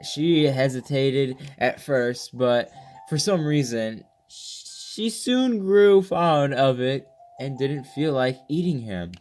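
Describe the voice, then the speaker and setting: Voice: mid-range voice, expressive, slow, darkish tone, faint music behind. A gaming video host reading a game's story text aloud, often stumbling over words and rereading them, with casual reactions.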